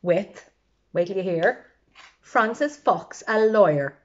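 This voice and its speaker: Animated woman reading a children's story. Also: medium pitch, takes it slowly, highs cut off. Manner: expressive, chatty asides to young listeners. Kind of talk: animated children's read-aloud.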